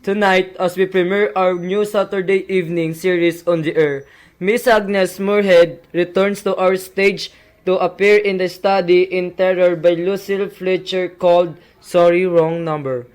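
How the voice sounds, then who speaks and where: A man's voice: high voice, steady tone, moderate pace, clear, resonant tone, natural voice. A young man playing a mysterious host, reading scripted narration for an amateur suspense radio play. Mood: ominous, formal. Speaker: announcer.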